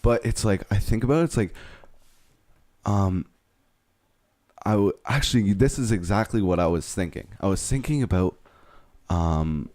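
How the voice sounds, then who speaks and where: A man's voice: lowish voice, moderate pace, pauses often, a little mellow, dry recording. A man in his twenties co-hosting a podcast, talking in a rambling, frank way that trails off mid-thought. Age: 20s. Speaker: podcast co-host. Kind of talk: casual chat.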